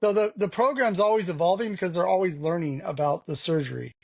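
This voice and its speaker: A man's voice: high-pitched, quick pace, gravelly, no echo. A man talking frankly as an interview guest, rambling with lots of "you know" fillers. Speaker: podcast guest. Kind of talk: candid conversational interview.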